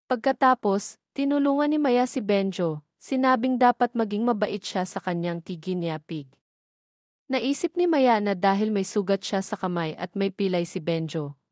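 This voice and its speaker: A woman with a Filipino accent reading scripted story narration in a formal, measured manner. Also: medium pitch, darkish tone, no room sound, studio quality.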